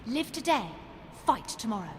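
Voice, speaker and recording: An actress playing a sharp-tongued, headstrong woman archaeologist with a sardonic manner in a video game. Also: on the high side, very animated, normal speed. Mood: resigned. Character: feisty, sharp-tongued female archaeologist adventurer. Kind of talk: video game cutscene acting. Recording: hall reverb, music behind.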